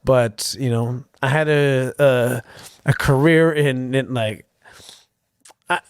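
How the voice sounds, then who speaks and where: A man in his forties, a comedian guest on a casual podcast, telling animated stories and jumping into quick voices. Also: clear, resonant tone, no room sound, clean studio sound. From Canada. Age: 40s. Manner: animated storyteller, jumps into high-pitched impressions.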